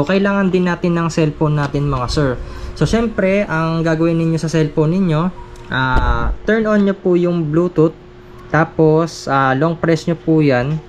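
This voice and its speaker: A man's voice: fairly high pitch, slight background noise. A man giving a casual, polite step-by-step product tutorial as a gear reviewer. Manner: polite, addressing viewers respectfully.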